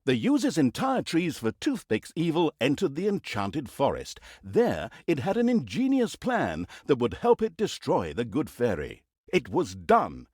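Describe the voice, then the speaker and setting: Sardonic fantasy game narrator: hugely animated storybook delivery, arch and mock-grand, on the higher side, quick to exasperation. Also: male voice, breathy edge, no echo, studio quality, full character voice. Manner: dramatic, tongue-in-cheek, arch delivery.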